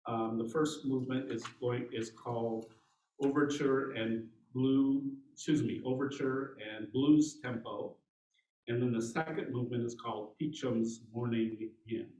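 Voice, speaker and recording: A middle-aged man, a clarinet professor, introducing a masterclass in a relaxed, halting way with small restarts. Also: mid-range voice. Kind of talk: informal spoken introduction. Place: large recital room, indoors. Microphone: far camera mic. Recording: echoey room from a distance.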